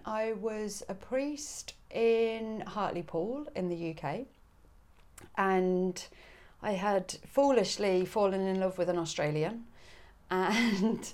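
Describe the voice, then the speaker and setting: A woman's voice: mid-range voice, slow. A woman from England with a northern English accent, a parish priest chatting easily in a podcast interview, laughing often. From Coventry, England. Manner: relaxed, chatty, laughs easily, many ums.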